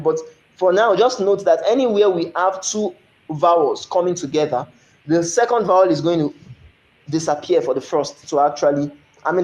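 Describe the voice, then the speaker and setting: A man's voice: on the high side, a little mellow. Echoey Nigerian man teaching French. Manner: explanatory, asks "you understand?" often, spells out French slowly. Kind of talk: recorded online lesson.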